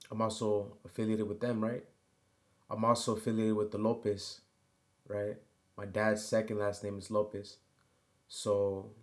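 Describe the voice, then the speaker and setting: A man with a low, level voice muses aloud in a loose, unscripted monologue. He pauses often and leans on "you know" and "right?" to keep his thoughts moving. The delivery stays even and unhurried, with little rise or fall. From United States. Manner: halting, filler-heavy, keeps adding "you know" and "right?". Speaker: vlogger. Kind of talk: rambling personal monologue.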